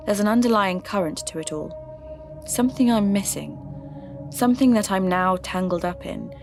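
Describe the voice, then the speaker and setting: A woman playing a reflective podcast host, reading scripted narration in a measured, confiding way. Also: medium pitch, natural voice. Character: reflective female podcast host investigating folklore mysteries. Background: music.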